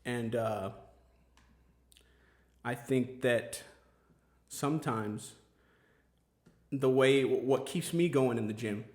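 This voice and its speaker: A man with a middle-pitched voice hosts a relaxed podcast conversation. He rambles earnestly, circling back with fillers and restarts. The room around him is noticeably echoey. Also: slow, pauses often. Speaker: podcast host. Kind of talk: casual chat.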